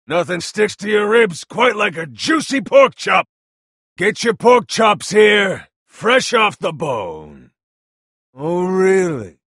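An actor playing a gruff, hard-bargaining butcher and street vendor in a video game, with a gravelly, raspy voice and a brash, folksy huckster manner. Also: male voice, high voice, sing-song, takes it slowly, a bit bright, no room sound, studio quality, exaggerated voice. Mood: hawking. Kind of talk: video game character voice lines.

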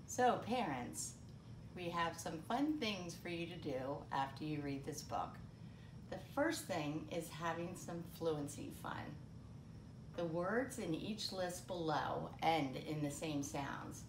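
A middle-aged woman presents a children's storytime. She speaks slowly and in a measured way, setting her words clearly apart as she gives reading instructions to children and parents. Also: low-pitched, animated, roomy sound, noisy background. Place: small indoor room, seated at a table.

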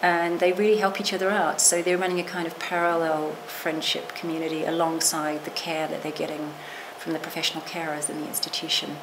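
Woman, middle-aged, literature professor, reflective and careful, flat delivery, noisy room. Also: low voice, a little fast, hardly any pauses. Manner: thoughtful, qualifying herself with restarts and asides. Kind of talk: reflective interview talk. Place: small lecture room, seated.

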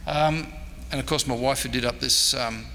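A man's voice: medium pitch, fairly even intonation, normal speed, sharp tone. Rambling older Australian man in an echoey hall. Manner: rambling, self-deprecating, hesitant. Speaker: historian giving a convention talk. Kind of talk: informal off-the-cuff lecture and audience questions. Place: indoor convention meeting room. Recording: echoey hall, background noise.

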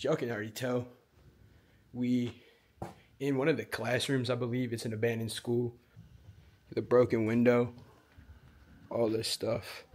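A young man casually vlogging while he explores an abandoned school, talking straight to his viewers in relaxed slang. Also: mid-range voice. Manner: laid-back slangy, keeps addressing viewers. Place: abandoned school, indoors and on roof. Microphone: handheld camera at arm's length.

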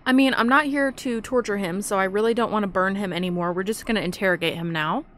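A woman gives casual commentary as she plays a video game. Her voice sits at a medium pitch, relaxed in wording and conversational. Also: quick pace, a crowd in the background. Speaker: gamer, video host.

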